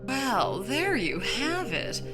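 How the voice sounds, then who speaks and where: Female horror-podcast host, high and swooping, theatrical and teasing, with loud music underneath. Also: sing-song, very slow. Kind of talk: theatrical spooky-show outro narration. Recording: prominent music behind the voice.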